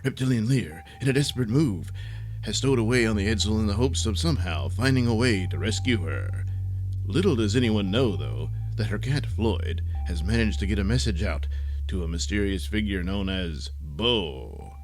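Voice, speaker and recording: A man's voice: on the low side, animated, moderate pace, faint music behind. A man narrating a sci-fi comedy serial in a mock-dramatic scripted style.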